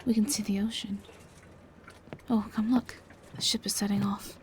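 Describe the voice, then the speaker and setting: A woman playing a gentle, courteous female vampire in a roleplay audio drama, soft-spoken, polite and a little hesitant. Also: medium pitch, flat delivery, moderate pace, a little hiss or hum, natural voice. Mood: quietly pleased.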